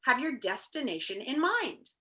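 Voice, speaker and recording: A formal storybook notice, read out by a woman, announced deliberately in a high, bright voice. Each phrase is officious and emphatic. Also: sing-song, exaggerated voice. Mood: official, deliberate.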